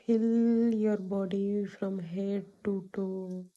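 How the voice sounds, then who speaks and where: A woman guides a meditation in a very soft, dark and relaxed voice. She speaks extremely slowly, leaving long pauses between short instructions. Her delivery stays level and soothing throughout. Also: medium pitch, highs cut off. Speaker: meditation guide, yoga teacher.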